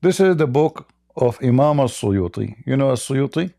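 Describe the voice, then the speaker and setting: A man's voice: medium pitch, expressive, normal speed, vocal fry, warm tone, no room sound, studio quality. A man hosting a live debate, pressing a caller with repeated questions. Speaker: debate host. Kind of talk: live call-in religious debate.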